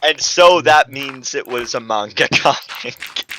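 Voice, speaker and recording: A man's voice: medium pitch, slow, sharp tone, a little hiss or hum, rough recording. A man giving a comedic dramatic reading of fan fiction as narrator, stumbling and correcting himself.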